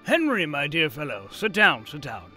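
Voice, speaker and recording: A man plays an elderly professor in a radio-style drama, genial and easily amazed. His fairly high voice swoops up and down as he gushes and stammers. Music plays underneath. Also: wildly swooping pitch, moderate pace, bright, forceful tone, full character voice. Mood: eager delight. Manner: effusive, stammering with delight. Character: excitable elderly male professor, genial and marvelling. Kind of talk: audio drama acting.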